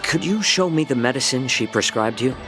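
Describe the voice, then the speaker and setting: Man playing a game healer, male, scholarly and sly, polite, very animated pitch, teasing, explanatory delivery, music behind. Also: fairly quick, natural voice. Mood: smooth, probing. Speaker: voice actor in a video game. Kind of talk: game cutscene character acting.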